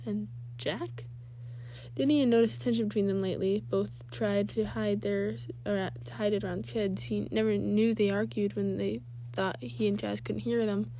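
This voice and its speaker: Mellow-voiced woman reading a story aloud. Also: medium pitch, fairly even intonation, moderate pace, slight background noise, poor audio. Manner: stumbles and rereads phrases. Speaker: amateur fan fiction reader.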